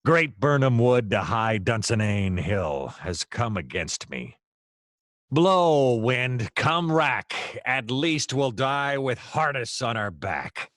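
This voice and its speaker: A man's voice: mid-range voice, big pitch swings, takes it slowly, fairly bright tone, no room sound, studio quality. A man playing a wry, self-pitying private detective in a noir radio play, narrating and speaking with theatrical flourish. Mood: melodramatic despair.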